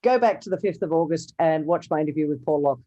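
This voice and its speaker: A woman's voice: deep voice, fast talker, dark tone, muffled recording. A woman from Thailand whose first language is Thai, hosting a business interview in a chatty way. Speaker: interview host.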